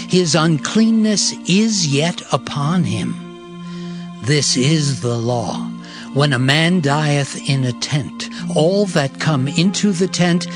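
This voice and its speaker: A man playing a solemn prophet reciting ritual law deliberately in a dramatized scripture reading. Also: on the high side.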